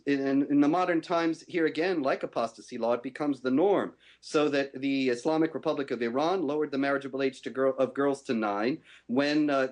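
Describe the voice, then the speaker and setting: A man giving a firm, measured rebuttal in a televised debate, often pausing on "uh". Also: mid-range voice. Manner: measured, firm, many uh fillers. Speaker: debate guest.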